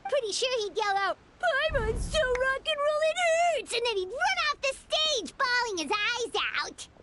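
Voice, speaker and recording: A woman voicing a tiny, excitable fairy companion girl in a video game, childlike, bossy and cheeky. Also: very high-pitched, moderate pace, slight background noise, full character voice. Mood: boastful, scornful. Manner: childlike, exclamatory, speaks of self in third person.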